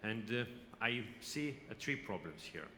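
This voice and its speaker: A man from Lithuania gives a conference presentation, speaking haltingly with frequent hesitations and restarts. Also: medium pitch, very animated, sharp tone. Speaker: company representative presenting. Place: exhibition hall. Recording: reverberant hall, faint background noise.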